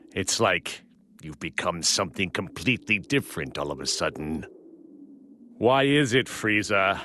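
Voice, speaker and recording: A man's voice: on the low side, a little hiss or hum, exaggerated voice. A man playing an arrogant, menacing insect android in a video game, suave, smug and theatrical. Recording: loud music behind. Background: loud game music.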